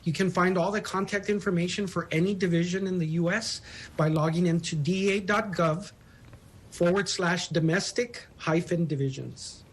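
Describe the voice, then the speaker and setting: High-pitched male spokesman in a news interview. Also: steady tone, moderate pace, breathy edge. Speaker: public information officer, interview guest. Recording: phone interview.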